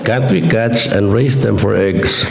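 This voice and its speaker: A middle-aged man gives a dharma talk, his low voice roughened by a chest cold and a touch of gravel. He talks in an easygoing, lightly humorous way. The sound carries the echo of a temple hall. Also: unhurried, crowd noise. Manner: gentle, chatty, lightly joking. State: chest cold, slightly hoarse. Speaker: Buddhist minister.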